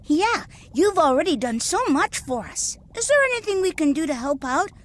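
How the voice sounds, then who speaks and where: A good-natured young boy adventurer, speaks in a high, boyish voice that rises and falls freely. The delivery is casual and eager, in animated video game style. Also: normal speed. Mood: curious, puzzled.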